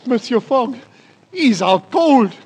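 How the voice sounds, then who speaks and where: An astonished French servant in a 1940s radio drama. The voice is high and husky, exclamatory and drawn out, with long reverb around it. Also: female voice, animated, takes it slowly, darkish tone, heavily acted. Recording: echoing old radio broadcast.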